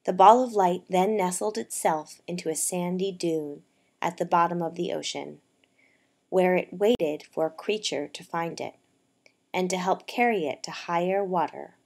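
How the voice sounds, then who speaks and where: A woman narrating a poetic tale for a puppet show in a measured, deliberate storytelling manner, letting her phrases soften as they end. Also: on the low side, creaky.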